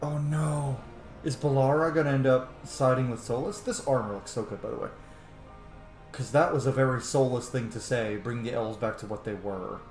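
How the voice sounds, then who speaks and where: A man's voice: medium pitch, normal speed, darkish tone. Casual male gamer in a roomy space. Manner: musing aloud, informal. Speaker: gaming YouTuber. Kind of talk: casual video game playthrough commentary. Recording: roomy echo, game music behind. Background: video game music.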